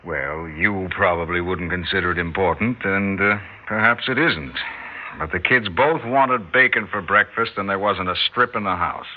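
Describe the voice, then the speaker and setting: Actor as grumpy stiff american husband, middle-aged, low voice, sarcastic, old radio drama, muffled sound. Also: male voice, normal speed, close to an everyday voice. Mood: cool, offended. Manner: formal, clipped, sarcastic. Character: stern, offended husband and father, coolly sarcastic. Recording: dull vintage radio recording with faint noise.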